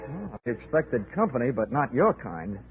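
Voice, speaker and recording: A man's voice: mid-range voice, sing-song, normal speed, very noisy. A man playing a cynical, wisecracking hard-boiled investigator in 1940s radio drama style, with slangy, clipped delivery. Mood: wry, guarded. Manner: sardonic, slangy, clipped tough-guy delivery. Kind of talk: 1940s radio detective drama acting and narration. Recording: tinny old-time radio recording with music.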